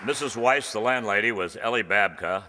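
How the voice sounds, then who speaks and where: A man with a very low voice speaks as a live announcer, thanking performers and crew. He is in a reverberant hall, with clear noise and audience sound around him. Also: moderate pace. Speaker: announcer and cast member. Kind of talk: live curtain-call thanks to cast and crew. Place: indoor hall before an audience.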